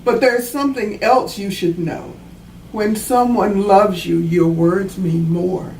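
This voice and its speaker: A woman reads a children's story at a very unhurried pace, stopping to explain like a teacher. Her voice is on the low side with some creak. She sits away from the mic, with faint noise behind her. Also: steady tone, darkish tone. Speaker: author reading her own children's book. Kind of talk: children's storybook read-aloud with explanatory asides. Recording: roomy, distant mic, faint background noise.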